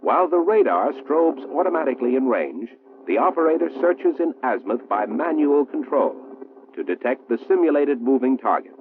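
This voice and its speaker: An American man reading a 1960s military training film narration in a formal, measured, precisely enunciated manner. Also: medium pitch, big pitch swings, noisy background. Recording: muffled, roomy 1960s film soundtrack, phone-like.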